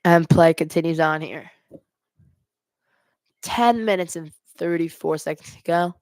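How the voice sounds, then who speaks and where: A woman with a fairly low voice gives amateur live hockey play-by-play as a fan host. She mixes the calls with exasperated, complaining asides about the team. The sound is dry, with very little room echo. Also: a little slow, a little mellow. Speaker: fan commentator hosting a live hockey watch party.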